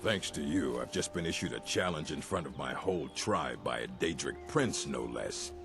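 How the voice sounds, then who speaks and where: An actor playing a gruff, proud orc chieftain in a fantasy game, blunt and commanding, with a gravelly voice. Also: male voice, very deep voice, animated, moderate pace. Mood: grudging, insistent. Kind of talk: fantasy video game acting. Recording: game dialogue under loud music.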